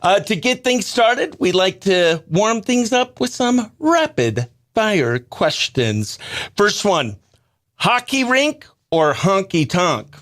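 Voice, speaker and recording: A man hosting a radio show, chatting in an informal, excitable, slangy way. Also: high voice, slow. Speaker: radio host. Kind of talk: casual behind-the-scenes chat and upbeat radio interview.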